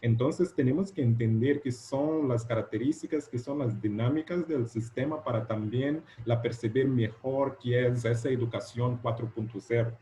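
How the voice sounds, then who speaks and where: A man with a soft, mellow voice and a Portuguese-speaker's accent presents informally over a video call. He speaks haltingly, repeating words while he looks for the right one. There is faint noise behind him. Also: mid-range voice. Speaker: guest lecturer, doctoral candidate.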